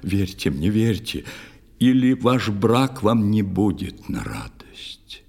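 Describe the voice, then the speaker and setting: A man's voice: fairly low pitch, very animated, slow, vocal fry, darkish tone, husky, heavily acted. A man voicing a grave, insistent old priest who gives solemn, pleading warnings in a verse audio play. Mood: stern warning.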